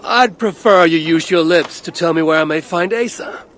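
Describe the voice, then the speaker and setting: Man acting stern, higher-pitched Norse god seeking vengeance. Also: a bit bright, slight background noise, lightly acted. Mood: firm, courteous. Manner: measured, formal, resolute. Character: stern Norse god father hunting for vengeance, one-eyed. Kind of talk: video game cutscene acting.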